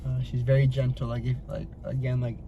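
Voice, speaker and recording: A man chatting casually as co-host, relaxed and slangy. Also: mid-range voice, brisk, highs cut off. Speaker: co-host answering Q&A. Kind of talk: casual couple chat. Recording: noisy background hum.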